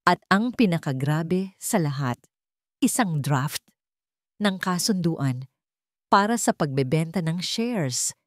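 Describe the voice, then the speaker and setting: A woman's voice: low-pitched, lively intonation, slow pace, long pauses, no echo, studio quality. A woman with a Filipino accent reading a scripted romance drama as a story narrator, delivering it as dramatic narration.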